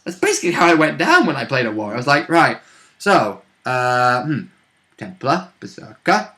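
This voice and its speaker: A man's voice: mid-range voice, normal speed. A man casually recounting a tabletop game session as a player, sweary and given to acting out moments. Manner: sweary, animated reenacting of moments. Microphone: recorded conversation for a vidcast.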